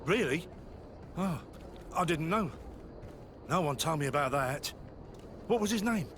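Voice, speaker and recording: Nervous game shopkeeper, high voice, lively intonation, polite, evasive. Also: male voice, unhurried, slight background noise. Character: nervous, evasive, courteous male wine merchant. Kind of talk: game cutscene acting.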